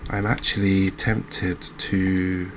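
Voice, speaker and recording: A man with a low voice and very level intonation talks through a game in a cozy ASMR style. He takes his time, thinking aloud and leaving pauses. Light ambient noise sits behind the voice. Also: little pitch movement. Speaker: gaming video host. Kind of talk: unscripted, quiet gameplay commentary in a cozy ASMR vein.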